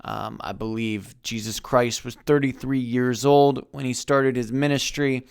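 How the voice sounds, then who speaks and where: A man in his thirties talking to camera in a halting, reflective vlog, with many fillers and restarts. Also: mid-range voice, fairly even intonation, creaky, clean tone, dry recording, studio quality. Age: 30s. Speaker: YouTuber. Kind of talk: personal vlog monologue.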